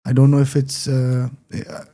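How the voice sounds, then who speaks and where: Mellow-voiced Indian man hosting a chat. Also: mid-range voice, completely flat delivery, moderate pace. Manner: friendly, with many fillers and soft affirmations. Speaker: podcast host. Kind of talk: casual interview chat. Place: Dubai.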